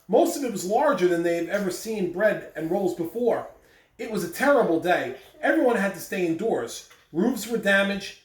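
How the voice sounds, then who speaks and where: Roomy, distant-sounding man reading a children's story. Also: sits high. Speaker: school staff member reading aloud on morning announcements. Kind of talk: expressive children's read-aloud. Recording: roomy echo, distant mic, faint background noise.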